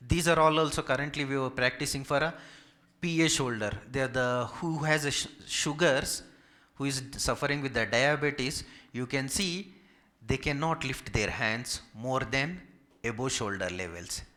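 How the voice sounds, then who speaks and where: A man's voice: mid-range voice, moderate pace, pushed and bright. A man from Hyderabad with a Telugu Indian accent, a native Telugu speaker, giving an informal medical talk as a physiotherapist, polite, repetitive and emphatic when listing. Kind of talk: informal medical presentation with audience questions.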